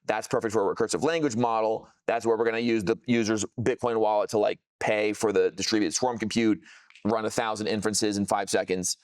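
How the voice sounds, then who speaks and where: A man's voice: on the low side, steady tone, fairly quick, clean tone, dry recording. A man giving an informal product demo, explaining technical things casually with plenty of jargon. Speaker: software founder presenting a product.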